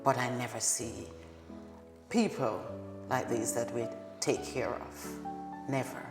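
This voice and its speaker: A woman's voice: a bass voice, fairly even intonation, slow pace. A woman with a Jamaican accent, a care worker who gives plain, direct answers in an interview. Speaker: direct support professional. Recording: echoey hall with music behind.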